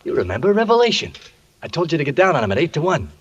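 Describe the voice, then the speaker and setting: Brash, swooping racetrack hustler. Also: male voice, on the high side, big pitch swings, racing, dull audio. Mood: breezy, teasing. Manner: cocky, wheedling, slangy. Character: brash, slangy male racetrack tipster, fast-talking sponger. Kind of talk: 1940s radio drama acting. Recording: old radio recording, some noise.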